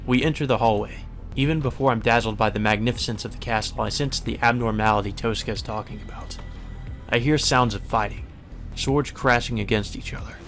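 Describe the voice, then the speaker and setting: A man's voice: mid-range voice, a little fast, a bit bright. A man hosting a let's play, reading a visual novel aloud as narration and dropping in informal, casual remarks of his own. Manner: informal, swears in asides. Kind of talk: narrated read-through with casual side comments. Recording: music playing behind the voice. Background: background music.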